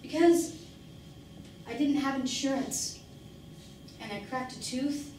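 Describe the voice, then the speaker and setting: A woman's voice: sits high, slow pace, darkish tone. A woman performing a dramatic monologue as an embittered, struggling working-class mother, defensive and pleading, with emphatic, self-questioning delivery. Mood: weary, defensive. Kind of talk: dramatic stage monologue acting. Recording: very echoey room, distant mic, faint noise.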